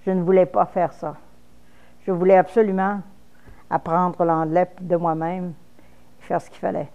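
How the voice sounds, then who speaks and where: A woman's voice: fairly low pitch, little pitch movement, measured pace, warm tone, dull audio. A woman in her fifties from Quebec with a Québécois French accent, speaking frankly in a personal interview, with false starts. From Quebec, Canada.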